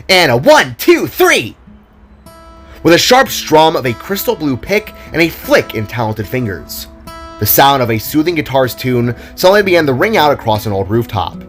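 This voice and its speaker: Expressive male storyteller with lively pitch. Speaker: narrator and writer of an audio drama. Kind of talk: scripted story narration, audio drama. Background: solo acoustic guitar music.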